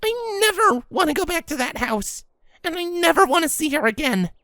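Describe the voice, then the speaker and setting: A woman's voice: very high-pitched, animated, moderate pace, fairly bright tone, no room sound, studio quality, exaggerated voice. A woman voicing a distraught young pony filly, pleading and emotional, in a dramatic audio story. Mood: hurt, bitter.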